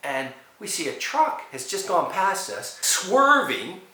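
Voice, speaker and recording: Animated man in an echoey room. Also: sits high, slow pace. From USA. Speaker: storyteller. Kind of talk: personal story told to camera.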